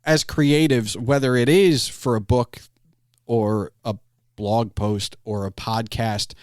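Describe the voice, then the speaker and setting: A man hosting a podcast, chatting casually in an animated, rambling storytelling way. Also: medium pitch, slow, no echo, studio quality. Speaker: podcast host interviewing a guest. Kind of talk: casual conversational chat.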